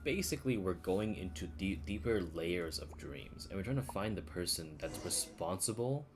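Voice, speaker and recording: Deep-voiced young man streaming a game. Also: expressive, normal speed, noisy background. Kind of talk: casual live game commentary. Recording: game music behind voice.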